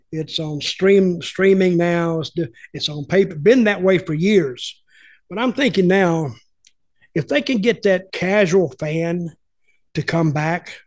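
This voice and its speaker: An older man telling rambling, folksy stories as a podcast host on a video call from home. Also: high voice. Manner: rambling, folksy, repeats words. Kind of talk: casual storytelling chat. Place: home room with lamp. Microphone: headphones, webcam video call.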